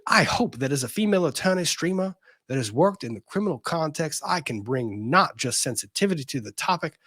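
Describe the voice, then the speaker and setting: A man's voice: a little high, fairly bright tone, breathy edge, dry recording, clean studio sound. A man doing a dramatic reading of a legal document, stressing quoted phrases theatrically and dropping in short asides. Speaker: host of a legal commentary show.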